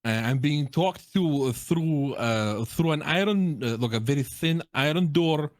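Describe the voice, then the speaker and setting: A man's voice: a little high, a little slow, no echo, clean studio sound. A man with a Russian accent, a native Russian speaker, chatting casually and jokingly as a guest streamer giving his opinions. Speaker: guest, game streamer and content creator. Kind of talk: casual chat, giving opinions on game audio.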